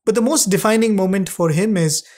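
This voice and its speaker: A man narrating a devotional anecdote conversationally, using tag questions and gentle humour. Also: sits high, brisk. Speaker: satsang co-host.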